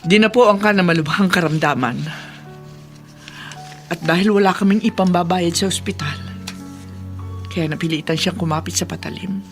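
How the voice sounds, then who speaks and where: An actress playing a weary older relative, telling a story solemnly and earnestly in a radio drama. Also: female voice, fairly low pitch, moderate pace, long pauses, soft background music, lightly acted. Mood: solemn, pleading. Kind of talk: Tagalog radio drama acting.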